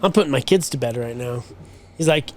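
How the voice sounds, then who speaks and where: A man's voice: mid-range voice, animated, brisk. A man telling a long, rambling story on a podcast, swearing casually. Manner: swearing, rambling anecdote with quoted voices. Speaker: podcast co-host.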